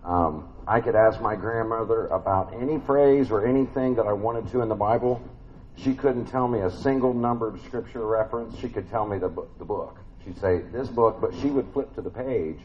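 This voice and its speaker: Man, big voice, lower pitch, folksy church sermon, lo-fi dull sound. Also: normal speed, a little mellow, a little hiss or hum. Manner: conversational, folksy, many fillers and restarts. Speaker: preacher. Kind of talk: family sermon.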